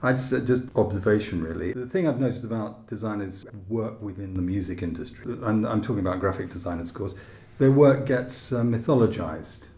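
A man's voice: on the low side, fairly quick, few pauses, dark tone, muffled recording. A man interviewing someone conversationally, feeling his way through questions in a tentative, roundabout way. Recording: echoey room.

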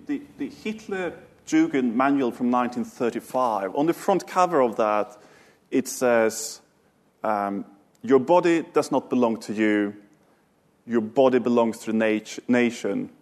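A man from Sweden giving a talk, then answering questions in a panel discussion with measured, thoughtful phrasing and some hesitant restarts. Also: mid-range voice. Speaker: author and speaker.

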